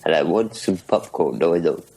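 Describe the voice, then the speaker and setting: A man's voice: low-pitched, expressive, moderate pace, gravelly, a little mellow, slightly rough. A man co-hosting a podcast movie commentary, bantering playfully and laughing with his co-host.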